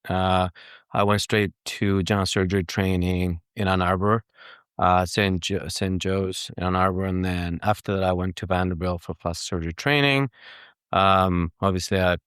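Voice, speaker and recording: A man from Greece and Cyprus, answering interview questions casually and haltingly as a guest surgeon. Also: low voice, a bit bright, clear, resonant tone, no echo, clean studio sound.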